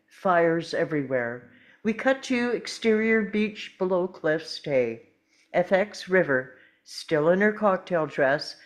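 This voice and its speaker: A woman narrating screen directions from a script in the present tense at a table read. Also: on the low side. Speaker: stage directions reader.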